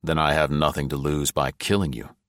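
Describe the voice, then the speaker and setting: A male narrator playing a tough, cool-headed American agent who speaks low and deliberately. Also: flat delivery, fairly bright tone, no echo.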